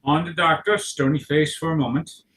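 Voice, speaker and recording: A man narrating stage directions at a script table read, halting and correcting himself as he goes. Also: fairly high pitch, fairly even intonation, a bit bright. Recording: clear background noise.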